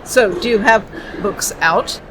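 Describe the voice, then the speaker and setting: Woman interviewer over clear background noise. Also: mid-range voice, slow. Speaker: interviewer, host. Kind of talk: casual conversational interviewing.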